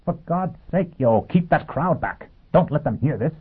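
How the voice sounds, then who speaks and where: An alarmed male pursuer in a science fiction tale , acted by a man reading aloud. His voice is strained upward and husky, full of outraged disbelief. The sound is boxed into a thin, phone-like band. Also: fairly high pitch, big pitch swings, normal speed, voice pushed up. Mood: frantic, outraged. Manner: strained shouting, incredulous. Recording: muffled, phone-like narrow band.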